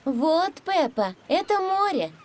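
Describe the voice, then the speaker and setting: A woman's voice: extremely high pitch, sing-song, takes it slowly, a little hiss or hum, exaggerated voice. A woman voicing a friendly mother kangaroo marine biologist in a children's cartoon, patiently explaining things. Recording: music behind the voice.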